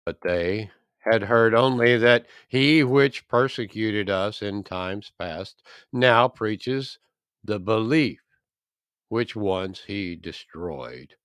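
A man reading a Bible chapter aloud in a measured, deliberate, solemn style. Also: lowish voice, animated, a bit bright, clean tone, no echo. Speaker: scripture reader.

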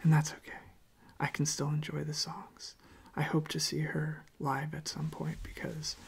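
A young man speaking softly in a gentle, unhurried, hesitant way as an ASMR host. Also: fairly high pitch, gravelly, husky. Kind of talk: soft-spoken whispered ASMR.

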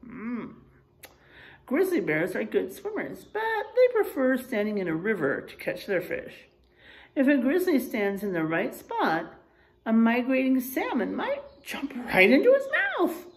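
Middle-aged woman, teacher type, for a children's read-aloud; highish voice, very lively intonation, explains simply. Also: rough recording. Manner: deliberate, expressive, pausing to explain simply to young children. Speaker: kindergarten teacher. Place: indoors, in a room with drywall and posters.

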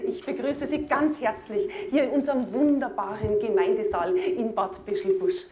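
A woman's voice: a little high, dark tone, close to an everyday voice. A middle-aged woman playing an earnest village culture-evening host in a comedy sketch, formal and stilted with a Bavarian accent. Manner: stilted, formal, halting, solemn. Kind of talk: TV comedy sketch, stage announcements. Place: village community hall, indoors. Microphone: handheld microphone. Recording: echoey hall with music behind.

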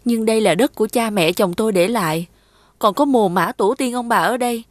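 A meek village widow from a cartoon fairy tale, played by a woman. Her voice is fairly high and closely recorded, with a weary, resigned and humble way of talking. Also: fairly quick, no room sound, natural voice. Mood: sorrowful. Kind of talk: animated folktale dubbing.

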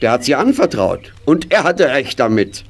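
A man's voice: medium pitch, very animated, normal speed. A man playing a hearty, good-natured, middle-aged husband on a farm in a children's radio play, jovial and emphatic. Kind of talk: German children's audio play acting. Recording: noisy vintage audio play recording.

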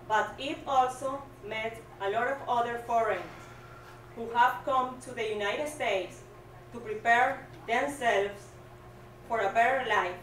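A woman with a Venezuelan Spanish accent reads a graduation speech in a slow, earnest, careful way, her voice sitting a little high. She stands at a stand mic in a small hall. The sound is roomy and echoey, and she is heard from some distance off the mic. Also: fairly bright tone, slight background noise. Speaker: graduating student. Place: small hall with curtain backdrop. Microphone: stand mic, filmed from a distance.

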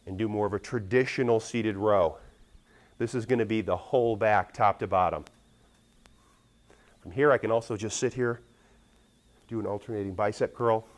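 American guy, middle aged, kinda low voice, walking thru a gym machine demo, explains stuff plainly with a sales pitch feel. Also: slight background noise. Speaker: fitness equipment demonstrator. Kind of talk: instructional product demonstration.

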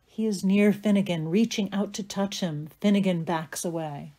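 A woman narrating stage directions from a script in a measured, matter-of-fact way. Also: mid-range voice, mellow.

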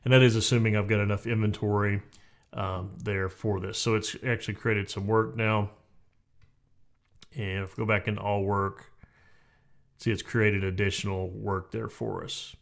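A man in his forties giving a relaxed, conversational software walkthrough from a home office, with frequent fillers and restarts. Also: lowish voice, normal speed, gravelly. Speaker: software tutorial presenter. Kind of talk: casual screen-recorded software walkthrough.

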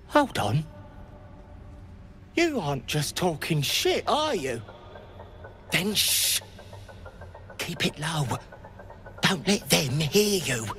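A hushed, wary British elf. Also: male voice, a little high, exaggerated voice. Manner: hushed, gruff, clipped. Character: wary, grateful male elf fugitive. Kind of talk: video game character acting.

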